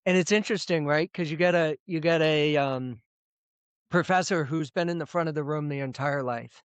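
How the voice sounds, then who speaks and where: Middle-aged man, interview guest on a video call. Smooth, resonant voice and a bit high for a man. Loose, rambling delivery with lots of restarts. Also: moderate pace, dry recording. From United States. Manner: rambling with frequent restarts and repeated words. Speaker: presentation coach, guest. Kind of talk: casual interview talk.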